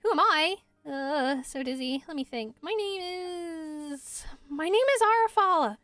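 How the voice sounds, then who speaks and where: Girl doing a little shy forest sprite, super high and bouncy pitch, dreamy n hesitant, game dub vibe. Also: lively intonation, unhurried, fairly bright tone, no room sound, faint music behind. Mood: dizzy, confused. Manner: hesitant, wide-eyed, rambling. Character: timid, dreamy little forest spirit, just woken and dizzy.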